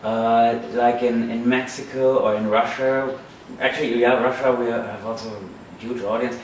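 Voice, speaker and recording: A man's voice: medium pitch, fairly even intonation, normal speed. Man in an echoey room. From Germany. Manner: many restarts and repeated words, thoughtful. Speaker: musician interviewed. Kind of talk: casual interview chat. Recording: distant mic in a very echoey room with faint noise.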